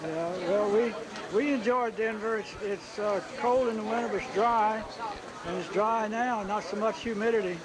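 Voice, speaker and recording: A retired man from Texas makes small talk at an outdoor reunion, rambling through the places he has lived. His voice sits fairly high for a man. Loud crowd chatter fills the space around him. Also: moderate pace, rough recording. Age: older. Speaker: reunion guest. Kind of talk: casual chat.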